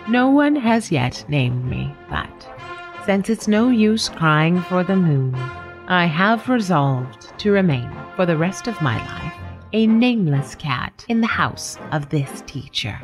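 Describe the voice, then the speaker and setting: A woman playing a nameless, observant house cat, narrating a literary radio story in a measured, formal, deadpan way. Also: on the low side, full character voice. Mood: wry, reflective. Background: music.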